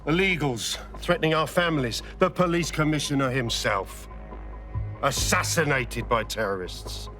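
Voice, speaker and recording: An actor playing a ruthless, zealous security company boss in a video game, speaking in measured, emphatic speeches. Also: male voice, on the high side, fairly bright tone, faint music behind, lightly acted. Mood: grim, persuasive. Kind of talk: dramatic video game cutscene acting.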